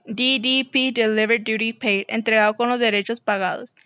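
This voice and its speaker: A woman's voice: on the high side, fairly even intonation, normal speed, bright, forceful tone, clear, resonant tone, no room sound. A woman presenting a scripted explanation in an instructional reading style.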